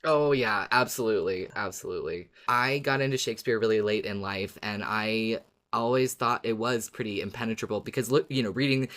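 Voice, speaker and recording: A woman's voice: rumbling low, a little fast. A woman hosting a fan podcast, chatting casually and laughing often. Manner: relaxed, laughs often, rambling restarts. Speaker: podcast host.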